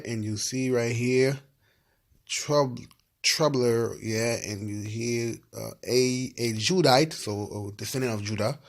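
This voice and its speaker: Male host from Ivory Coast, mid-pitched, loose casual delivery with lots of fillers while reading sources. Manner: loose and repetitive, with frequent "yeah" and "you know what I'm saying" fillers. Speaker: video host. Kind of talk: casual research commentary reading from websites.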